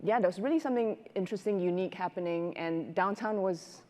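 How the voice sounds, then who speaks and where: A middle-aged woman from Singapore answering conversationally as a panelist, halting as she thinks aloud, on a lapel mic on a large stage. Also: medium pitch, mellow. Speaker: panelist, restaurateur and business owner. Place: large indoor conference stage. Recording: echoey auditorium stage, long reverb.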